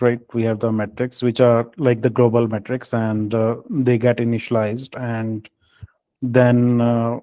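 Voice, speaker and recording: A man leading a technical team call, speaking in a halting, hesitant way with filled pauses. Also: medium pitch, mellow, no echo. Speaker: meeting lead.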